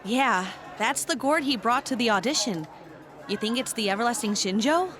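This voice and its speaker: A woman playing an excitable, curious young sidekick in an audio drama, with an enthusiastic, casual way of talking. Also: fairly high pitch, normal speed, soft background music. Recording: busy restaurant crowd behind.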